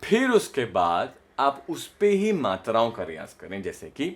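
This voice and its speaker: A young man gives a voice lesson, talking slowly and patiently. He explains each exercise plainly and often checks that his listeners follow along. His voice sits at an ordinary middle pitch. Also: huge pitch swings. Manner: slow, explanatory, repeats a line on rising notes. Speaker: voice coach. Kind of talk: casual spoken lesson with vocal demonstrations.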